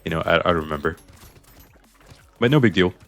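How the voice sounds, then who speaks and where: Chatty low-voiced man explaining gameplay. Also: animated, brisk, fairly bright tone. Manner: chatty, explains choices while playing. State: slightly strained voice. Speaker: gaming YouTuber. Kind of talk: casual game commentary.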